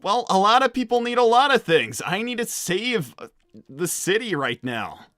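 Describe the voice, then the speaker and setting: Casting: male actor as young hedgehog hero, highish bright voice, wisecracking and casual, stumbling over words, cartoon livestream, dry close sound. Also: big pitch swings, normal speed, dry recording. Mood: pleading. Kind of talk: improvised in-character livestream roleplay, reading out messages.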